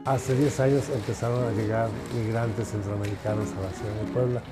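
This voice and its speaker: A man's voice: on the low side, steady tone. Earnest older man, music under. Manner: earnest, measured, pausing with fillers. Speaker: priest running a migrant shelter. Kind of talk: documentary interview.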